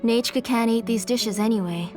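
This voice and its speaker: Woman acting a tender mother figure, nurturing, soft-spoken, higher-pitched, video game dialogue, background music. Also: moderate pace, close to an everyday voice. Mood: caring. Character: gentle, caring mother carrying quiet sorrow.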